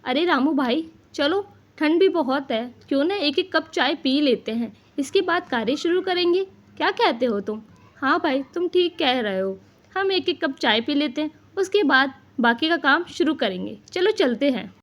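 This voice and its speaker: A woman's voice: high voice, lively intonation, normal speed. A woman voicing simple, trusting male village labourers in cartoon story dialogue, with plain, brotherly talk. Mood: friendly.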